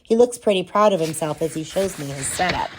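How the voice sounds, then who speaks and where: A woman's voice: low voice, lively intonation, a little fast, slight background noise, poor audio. Woman reading a children's novel aloud. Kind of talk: audiobook-style narration of a children's novel.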